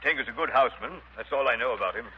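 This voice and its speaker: An actor playing a pompous, brusque British colonial major in a 1930s radio drama, with clipped speech. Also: male voice, mid-range voice, racing, natural voice. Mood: brisk, dismissive. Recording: muffled, hissy 1930s radio broadcast.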